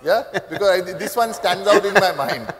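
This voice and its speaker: A man's voice: high-pitched, normal speed. An older man with an Indian English accent, speaking animatedly as a panelist on a conference stage through a lapel mic. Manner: animated, gestures broadly while talking. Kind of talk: panel discussion. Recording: reverberant hall, lapel mic.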